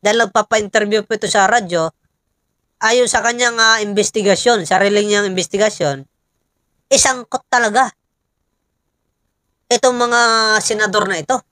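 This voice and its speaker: A Filipino woman giving informal political commentary, pausing often and emphatically putting rhetorical questions to listeners. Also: mid-range voice, a bit bright, no room sound, studio quality.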